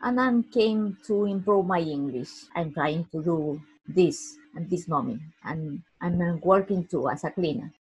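Woman from ecuador, husky voice, very slow, hesitant. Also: lowish voice. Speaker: ESOL student. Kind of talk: casual self-introduction and discussion. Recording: dull lo-fi recording.

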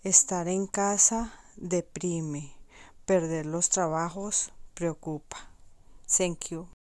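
Woman student, mellow tone, dry close sound. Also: fairly low pitch, steady tone, slow pace, no echo. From Colombia. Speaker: language student. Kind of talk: reading her own poem aloud.

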